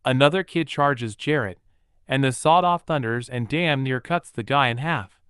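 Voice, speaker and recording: A man's voice: mid-range voice, moderate pace, a bit bright, smooth, dry recording. A man narrating a horror novel in a vivid, present-tense storytelling style, recorded close to a studio mic. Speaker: audiobook narrator.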